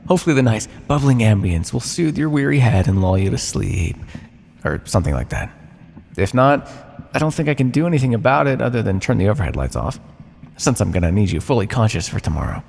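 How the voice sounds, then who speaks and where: A man playing a condescending, conflicted vampire captor and scientist in a theatrical ASMR roleplay, teasing the listener with sighs and drawn-out words. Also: medium pitch, sing-song, creaky, a little mellow, big-room sound. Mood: smug, teasing.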